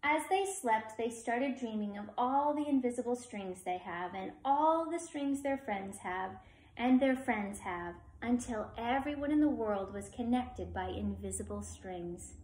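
A woman's voice: a little high, animated, a little slow, a little mellow. A woman in her thirties reading a picture book aloud expressively and speaking simply and directly to young children. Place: living room, on a sofa. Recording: echoey room.

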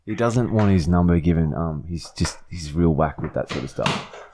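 A man's voice: low-pitched, expressive, moderate pace. A man playing a meek, apologetic, hesitant victim of an abusive partner on a prank phone call. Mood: meek, flustered. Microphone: podcast boom mic. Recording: background noise.

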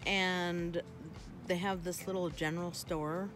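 An older woman chatting in an unhurried way to her phone camera, first inside a minivan and then outdoors at a campsite, with many ums. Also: lowish voice, steady tone, music in the background. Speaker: vlogger. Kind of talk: casual chat. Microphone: phone held at arm's length.

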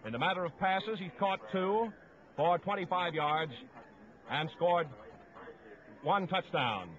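A man announcing football lineups on 1950s radio in a measured, formal delivery. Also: high voice, expressive, fairly bright tone. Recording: dull, lo-fi 1950s radio broadcast.

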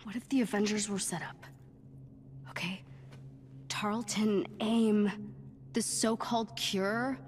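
A woman's voice: mid-range voice, steady tone, takes it slowly, long pauses, a little hiss or hum, close to an everyday voice. A woman playing an earnest, determined teenage superhero fan in a video game cutscene. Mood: earnest, hopeful. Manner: earnest, pleading.

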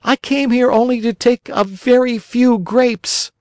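A man's voice: extremely high pitch, fairly even intonation, unhurried, a little mellow, no echo, clean studio sound, voice pushed up. A man voicing a frightened young marionette boy in a children's storybook, whining and pleading emphatically. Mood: tearful, desperate.

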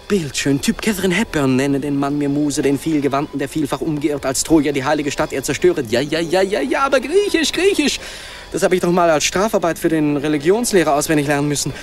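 A brash, excitable radio reporter whose voice swings widely in pitch. He talks in clipped telegram phrases and mutters to himself, in the manner of a radio play.

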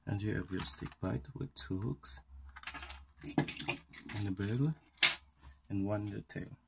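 Low gravelly guy, slow and halting, noisy camera audio. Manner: unhurried, hesitant with many fillers. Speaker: fishing tackle instructor. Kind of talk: casual hands-on tutorial. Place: indoors at a table. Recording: noisy home recording.

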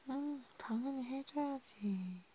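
A woman speaking in a soft, breathy, often whispered murmur, gently and hesitantly, as if chatting one-to-one in an ASMR roleplay. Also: sits high, slow pace, slight background noise, poor audio. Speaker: ASMR host.

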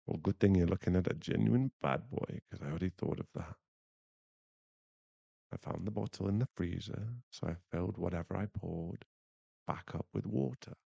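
A young man playing a teasing, bashful bad-boy werewolf boyfriend in an intimate ASMR roleplay, halting and murmured. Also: low-pitched, vocal fry, warm tone, slightly rough, no echo, dull audio, heavily acted. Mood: teasing, concerned. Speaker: voice actor in an audio roleplay.

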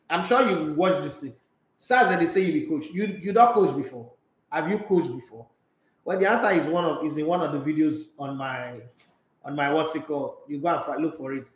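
Nigerian man, sports radio host, emphatic, slightly high voice, echoey studio. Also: normal speed. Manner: animated, emphatic, mixes pidgin with English. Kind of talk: live sports talk show, reading viewer comments. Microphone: studio microphone with headphones.

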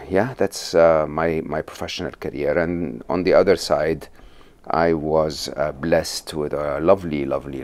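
A man in his fifties from Egypt, a podcast guest talking in a measured, thoughtful way with many pauses, recorded on a lapel mic. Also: extremely low pitch, slight background noise. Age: 50s. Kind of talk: reflective interview talk. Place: bright studio office with plants.

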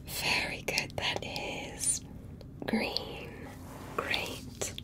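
Slow whispering ASMR woman. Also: rough recording. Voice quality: breathy, unvoiced whisper. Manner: slow, gentle, careful step-by-step instructing and reassuring. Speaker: ASMR roleplayer acting as an alien medical examiner. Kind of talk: ASMR medical roleplay.

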